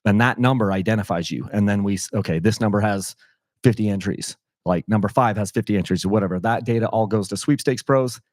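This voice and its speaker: A middle-aged man with a slightly hoarse voice, hosting a casual livestream from his house and rambling with frequent self-corrections. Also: on the low side, brisk, a little mellow, no room sound, studio quality. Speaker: channel host running a sweepstakes livestream.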